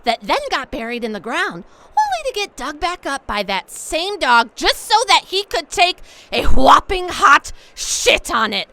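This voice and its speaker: A woman with a bright, high voice delivers a vivid, crude comic rant. Her pitch swings widely and her tone is sharp. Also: very animated, a little slow, no room sound.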